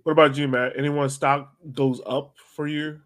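Casual guy with a slightly high voice. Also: level tone, normal speed, a bit bright. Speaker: host of a soccer fan podcast. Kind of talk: casual chat.

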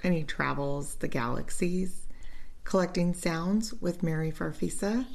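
A woman's voice: on the low side, measured pace, creaky, a little mellow. A woman hosting a podcast in casual, enthusiastic chat, with a gushing, informal manner. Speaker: podcast host.

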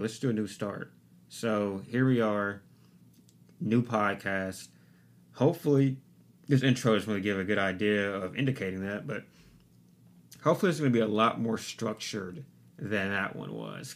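A man's voice: lowish voice, a bit bright. A young man hosting his own podcast, talking alone in a loose, rambling, profane and self-mocking way into a desktop microphone. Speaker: podcast host. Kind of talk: casual solo podcast monologue. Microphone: desktop USB microphone.

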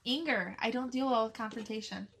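A woman's voice: fairly high pitch, fairly quick, slightly rough. A woman co-hosting a podcast, chatting casually and laughing often. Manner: warm, giggly, calls others "girl". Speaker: podcast co-host. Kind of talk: casual chat.

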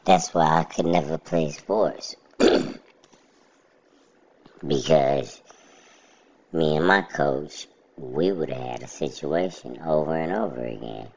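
A man talking casually in a steady, plainspoken monologue, describing his own even, monotone way of speaking. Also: very deep voice, slow, creaky, long pauses. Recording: dull lo-fi recording.

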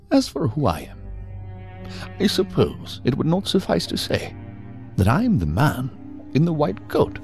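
An actor playing a calm, evasive male psychiatrist in an audio drama, speaking in a measured, formal, unctuous manner. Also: medium pitch. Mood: coaxing, coy.

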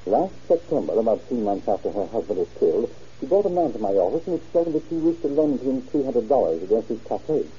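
A man's voice: mid-range voice, very animated, fairly quick, mellow, slight background noise, natural voice. A man playing an earnest, fussy small-town attorney in an old-time radio drama. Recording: dull muffled old radio recording.

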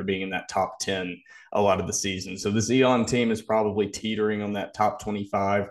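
A man with a fairly low voice co-hosts a sports podcast. He gives casual analysis in an analytical way, qualifying his points as he goes.

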